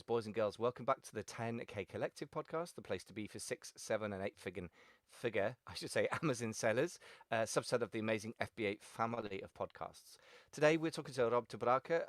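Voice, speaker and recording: A man's voice: mid-range voice, fairly quick, no echo, clean studio sound. A man hosting a podcast over a video call, chatty and playful, joking with listeners while he interviews his guest. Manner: playful, chatty, jokey openers. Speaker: podcast host.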